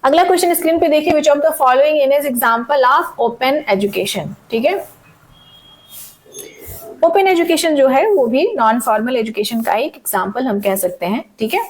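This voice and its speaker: A woman teacher with a somewhat high voice walks students through exam questions, mixing languages and pausing to check they follow. Her throat is sore, so her voice cracks now and then. She reads each question slowly before explaining it. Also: animated, a little hiss or hum, rough recording. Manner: frequent "theek hai" checks, reads questions slowly in English. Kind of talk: exam-prep lesson mixing Hindi and English.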